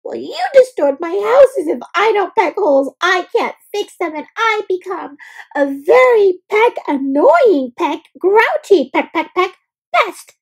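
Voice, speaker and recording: A woman's voice: very high voice, sing-song, unhurried, creaky, pitched above the performer's own voice, heavily acted, rough recording. A woman voicing a fussy little male woodpecker in a children's story, exclaiming in a plaintive way. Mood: annoyed, indignant.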